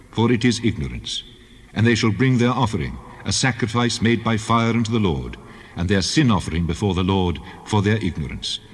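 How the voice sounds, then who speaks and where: An actor playing God in a dramatized scripture reading, solemn, formal and commanding. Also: male voice, breathy edge. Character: God speaking law and judgement, solemn and commanding. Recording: long booming reverb.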